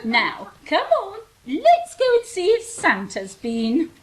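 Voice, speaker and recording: A woman's voice: sits high, wildly swooping pitch, takes it slowly, exaggerated voice. An actress playing a haughty, scheming queen in a comedy radio play. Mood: fond.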